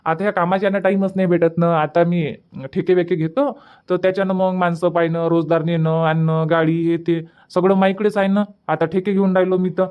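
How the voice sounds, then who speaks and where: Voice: medium pitch, flat delivery, fairly quick, clear, resonant tone, highs cut off, close to an everyday voice. A performer voicing a polite, busy young village man in a Marathi cartoon comedy, with a Varhadi accent and a respectful, coaxing manner. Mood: earnest. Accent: Varhadi Marathi from Vidarbha.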